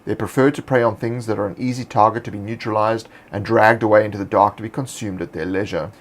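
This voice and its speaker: A man hosting, reading fantasy monster lore in a measured, scripted narration. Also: lowish voice. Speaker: host.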